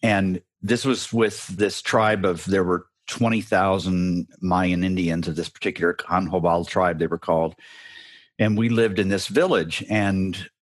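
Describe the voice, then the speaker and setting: A man's voice: deep voice, fairly even intonation, a little slow, dry recording. A man, a business advisor, talking as a guest in an interview, wry and self-deprecating with frequent chuckles. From Central America. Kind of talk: casual interview talk.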